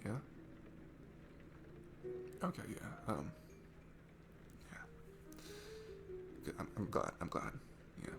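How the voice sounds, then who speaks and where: A man playing a shy, insecure, sweet guy at a bar in an intimate audio roleplay, talking in a nervous, halting, apologetic ramble. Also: deep voice, huge pitch swings, creaky, full character voice. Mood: shy, open. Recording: music behind the voice. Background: music.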